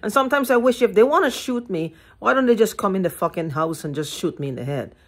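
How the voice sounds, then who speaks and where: Older woman, husky breathy voice, hoarse, agitated profane monologue, phone at arm's length indoors. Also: mid-range voice, expressive, fast. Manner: heated, profane, repetitive, restarting words. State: hoarse throat. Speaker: vlogger. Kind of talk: agitated rambling monologue to camera. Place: living room at home, indoors. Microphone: phone held at arm's length.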